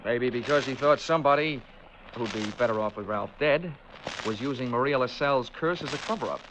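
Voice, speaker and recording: An actor playing a wry, hard-boiled insurance investigator in a 1940s radio detective drama, crisp and sardonic. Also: male voice, mid-range voice, a little fast, background noise, lightly acted. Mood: cool, sardonic. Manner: deadpan, sardonic, crisp wisecracks. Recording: dull-sounding 1940s radio recording.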